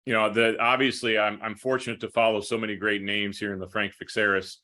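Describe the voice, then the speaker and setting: Low, bright-voiced American man in interview. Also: flat delivery, brisk. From United States, upstate New York. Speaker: hockey play-by-play broadcaster. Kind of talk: conversational interview answers.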